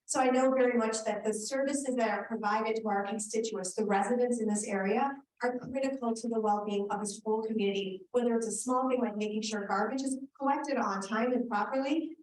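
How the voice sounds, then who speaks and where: A woman's voice: fairly high pitch, a little mellow. Earnest woman speaking from far off. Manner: earnest, rambling with self-corrections. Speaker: election candidate. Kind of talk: public forum speech. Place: community meeting room. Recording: echoey hall, distant mic, faint hum.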